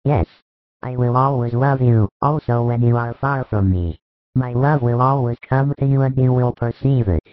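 A man voicing a calm, authoritative male teacher who speaks in a solemn, measured, firm way. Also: mid-range voice, dry recording, lightly acted. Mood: tender. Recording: muffled dry recording.

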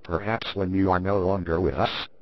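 A man voicing a timid male disciple who asks hesitant, quiet questions. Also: low-pitched, flat delivery, normal speed, voice pushed down, lightly acted. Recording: muffled dry recording.

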